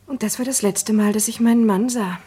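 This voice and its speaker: A woman's voice: medium pitch, steady tone, fairly quick, dark tone, slightly rough, close to an everyday voice. An actress playing an independent-minded Englishwoman who confides her story in a 1960s or 70s German radio drama. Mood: reflective.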